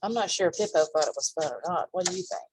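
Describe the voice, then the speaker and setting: A woman's voice: on the low side, expressive, fast, background noise. A woman reading aloud to young children as a storytime host, with chatty asides and questions for her listeners.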